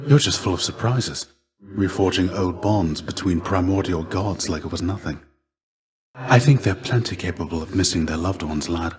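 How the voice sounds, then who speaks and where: An actor playing the ghost of a fallen Greek warrior in a video game, a gentle, fatherly mentor with measured, formal, old-fashioned phrasing. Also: low-pitched, lightly acted. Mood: thoughtful. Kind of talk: video game character dialogue.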